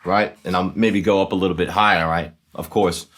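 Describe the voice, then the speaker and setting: Male tutorial host, very low register, casual explainer delivery, conversational with frequent check-ins. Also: steady tone, brisk. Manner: conversational, often checking in with "right?". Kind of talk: casual instructional demonstration.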